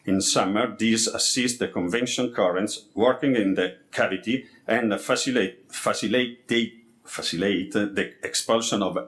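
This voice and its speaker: A man with a fairly low voice gives a formal, read-out conference presentation in English that is not his mother tongue. His delivery is halting, and he trips on words and repeats them as he goes. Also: fairly bright tone. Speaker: architect presenting a project.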